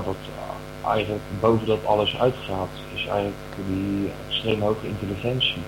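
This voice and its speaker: A man playing an earnest, reassuring believer in an audio drama, speaking hesitantly and rambling with many pauses and repetitions. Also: fairly low pitch, lightly acted. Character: earnest believer in friendly aliens, reassuring companion. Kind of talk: improvised-sounding Dutch audio drama monologue. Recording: noisy, with loud mains hum.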